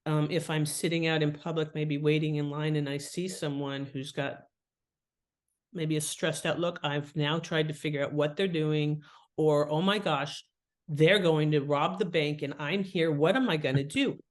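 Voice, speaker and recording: A woman's voice: deep voice, moderate pace. A woman talking casually and expressively as an interview guest, slipping into little acted-out asides as she explains. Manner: chatty, expressive, acts out imagined thoughts and asides. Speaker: podcast guest, fiction author and editor. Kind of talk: casual, animated interview talk.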